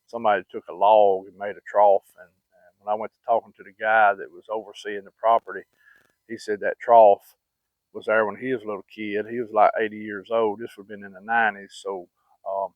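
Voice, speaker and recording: A man's voice: on the low side, dry recording. Folksy man spinning a yarn. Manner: rambling, folksy, many fillers and restarts. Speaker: channel host telling hunting stories. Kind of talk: casual storytelling. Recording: dry, phone-like sound.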